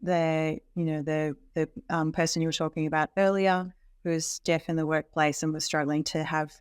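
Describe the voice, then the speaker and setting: Woman host, deep voice for a woman, easygoing interview, dry audio. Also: flat delivery, moderate pace, smooth, no room sound. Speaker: podcast host. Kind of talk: casual conversational interview.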